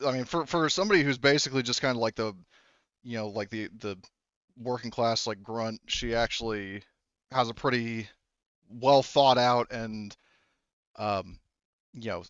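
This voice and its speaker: A man narrating a tabletop roleplaying game as game master, in a casual, halting, improvised way. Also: mid-range voice, a bit bright, clear, resonant tone, no room sound, lo-fi sound. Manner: halting, casual, repeats phrases.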